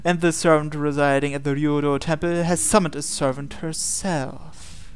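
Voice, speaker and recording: A scheming, sinister old sorcerer from a visual novel, as read aloud by a man. The voice is smooth and a little high. The words come out deliberate, cold and formal. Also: steady tone, lightly acted. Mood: smug, calculating.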